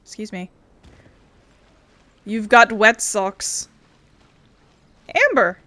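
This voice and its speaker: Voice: mid-range voice, steady tone, extremely slow, sharp tone. A game streamer casually talking and reading dialogue aloud during a let's-play.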